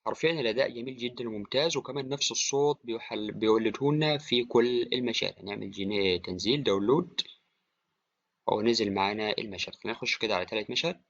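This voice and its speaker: Very fast-talking Egyptian man, casual tutorial. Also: fairly low pitch, a bit bright, dry recording. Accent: Egyptian Arabic. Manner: informal, addresses viewer as a friend. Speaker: tutorial presenter. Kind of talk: casual screen-recorded software tutorial. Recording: dry, slightly dull, with clicking.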